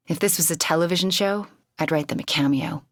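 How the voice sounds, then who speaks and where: A woman voicing a young sorceress heroine in a video game, earnest, wounded and wry, with a husky, breathy voice and a conversational, heartfelt delivery. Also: deep voice, brisk, no echo, studio quality. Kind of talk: video game character voice lines.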